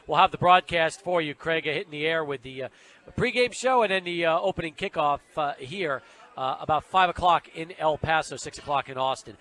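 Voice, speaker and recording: High-voiced male sports radio host. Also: brisk, bright, forceful tone. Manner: chatty, long run-on lead-ins to questions. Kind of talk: conversational sports talk hosting. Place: radio studio.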